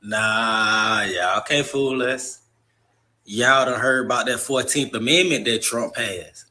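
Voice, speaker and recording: Bright-voiced man in a heated rant. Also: slow pace. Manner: mocking, heated, voice rises high when worked up. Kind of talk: opinion commentary.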